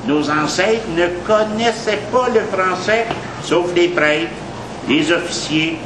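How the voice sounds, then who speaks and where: Male lecturer, Quebec French accent, high voice, emphatic conversational delivery, noisy café room. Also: takes it slowly. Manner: emphatic, conversational, repeats points for weight. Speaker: history lecturer. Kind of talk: informal history talk to a café audience. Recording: echoey café, noisy background.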